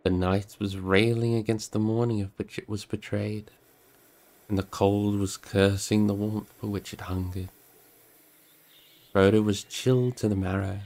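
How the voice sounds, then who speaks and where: A man with a creaky voice, hosting a livestream where he chats casually and reads a book aloud expressively. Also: lowish voice, little pitch movement, measured pace, darkish tone. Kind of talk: casual livestream chat and expressive storybook narration.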